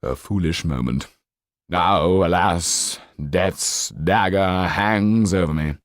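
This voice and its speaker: A man voicing a flamboyant, down-at-heel stage actor in an audiobook, effusive and courtly, with grandiloquent, drawn-out phrasing. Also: deep voice, no echo, clean studio sound. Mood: rueful, grand. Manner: grandiloquent, declamatory, drawn-out delivery.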